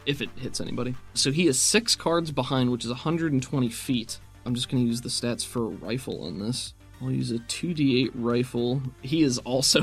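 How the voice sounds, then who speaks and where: Guy game mastering a tabletop rpg, normal pitch, storyteller descriptions plus casual rules chat, soft music under it.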